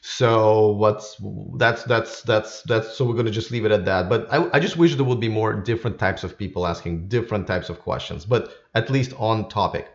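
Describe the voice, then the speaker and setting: A man's voice: medium pitch, muffled recording. A man hosting a live stream with casual, unscripted commentary, rambling and often repeating his words as he goes. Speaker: YouTube live stream host.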